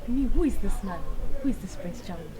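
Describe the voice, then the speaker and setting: A woman's voice: medium pitch, expressive, brisk, crowd noise, natural voice. A woman with a Nigerian accent acting a nosy, teasing friend who probes for a secret in a conversational drama. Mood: prying. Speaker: actor.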